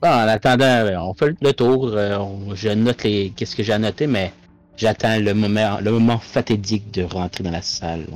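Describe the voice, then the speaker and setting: Brief: deeper-voiced man, laid-back player, dry asides. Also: moderate pace, soft background music. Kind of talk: casual chat at a tabletop game.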